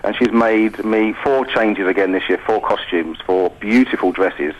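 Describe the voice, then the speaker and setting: A man's voice: on the low side, normal speed, highs cut off, slight background noise. A man chatting enthusiastically as a guest in a radio interview. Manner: enthusiastic, gushing. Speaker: pantomime performer and guest. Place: radio studio.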